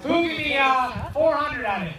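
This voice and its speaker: A man calls bids in a steady, rapid rhythmic chant, his voice very high for a man. He is heard from afar through an echoing loudspeaker. A loud outdoor crowd fills the background. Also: distant mic. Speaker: auctioneer. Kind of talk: auction bid calling. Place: outdoor yard auction with crowd.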